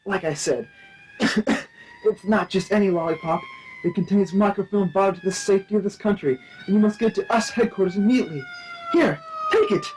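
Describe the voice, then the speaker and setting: A man's voice: high voice, sing-song, normal speed, darkish tone, a little hiss or hum, rough recording. An actor playing an urgent, dying secret agent in a comic radio play, gasping out his words.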